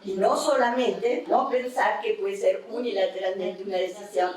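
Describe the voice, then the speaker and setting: A middle-aged Mexican woman addresses a seminar at an even, mid-range pitch, laying out her points in a measured, explanatory way and checking in with the room through tag questions. She sounds set back from the microphone, and her voice rings through a large, very reverberant hall. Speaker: academic panelist. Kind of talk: seminar talk. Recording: very echoey hall from far off.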